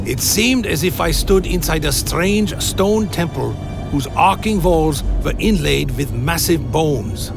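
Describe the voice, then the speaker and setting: An actor playing a solemn, brooding male vampire who narrates gothic horror in a dramatic, deliberate, gravelly voice. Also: fairly high pitch. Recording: music behind the voice.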